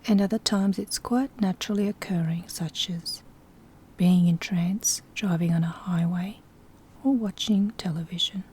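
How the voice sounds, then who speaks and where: A soft-spoken woman with a breathy, whispery voice gently guiding a sleep hypnosis session in a soothing, instructing way. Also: lowish voice, fairly even intonation, a little slow. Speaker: hypnosis guide.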